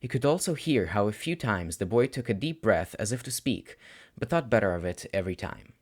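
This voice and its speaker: A man reading fan fiction aloud as an amateur audiobook narrator, in an expressive scripted style. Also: fairly low pitch, a little fast.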